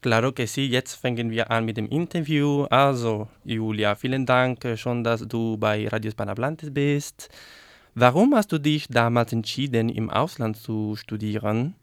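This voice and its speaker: A man's voice: mid-range voice, moderate pace, smooth, dry recording, clean studio sound. A man co-hosting a bilingual radio morning show in an easygoing, joking manner. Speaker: radio co-host. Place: radio studio.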